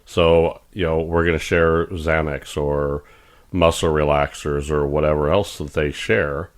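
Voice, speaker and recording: Middle-aged male podcast co-host, extremely low voice, casual and rambling, stumbles and restarts. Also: measured pace. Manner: rambling, repeats words and restarts, animated when retelling doctors. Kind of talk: casual chat.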